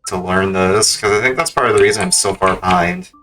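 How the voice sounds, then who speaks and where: A man with a lowish, bright, forward voice chats casually through his speedrun stream, rambling and self-critical, with faint music behind him. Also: sing-song, fairly quick, creaky. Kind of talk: casual gameplay commentary.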